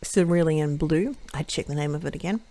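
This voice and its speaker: A woman hosting a watercolour card-making tutorial, chatting through each step as she paints and often correcting herself mid-sentence, her voice a little croaky. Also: low-pitched, fairly quick, mellow, no echo. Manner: chatty, self-correcting, thinks aloud while painting. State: slightly croaky voice. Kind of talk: casual step-by-step craft demonstration.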